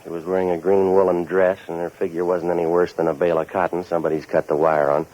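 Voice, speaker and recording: An actor playing a cynical, tough-guy hired investigator in a 1940s radio noir, deadpan and sardonic as he talks and narrates. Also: low-pitched, fast talker, lightly acted. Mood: wry, world-weary narration. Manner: deadpan, sardonic, hard-boiled. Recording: muffled 1940s radio recording.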